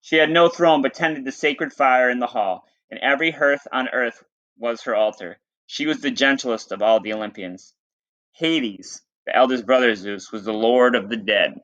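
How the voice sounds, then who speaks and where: A man's voice: medium pitch, pushed and bright. A man reading aloud as a teacher, mixing storybook narration with plain, informal explanatory asides. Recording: dull lo-fi recording.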